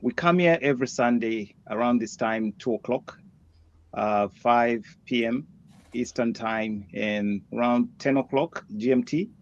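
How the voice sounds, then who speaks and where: A man co-hosting a casual livestream, relaxed and hesitant with many fillers. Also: mid-range voice, a little slow. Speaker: co-host. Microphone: video call. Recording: dull, dry sound.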